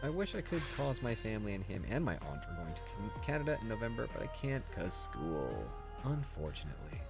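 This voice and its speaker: A man's voice: on the low side, sing-song, a little fast, creaky. A man casually streaming a game, easygoing and chuckling often, chatting and reading viewer comments aloud. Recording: music playing behind the voice.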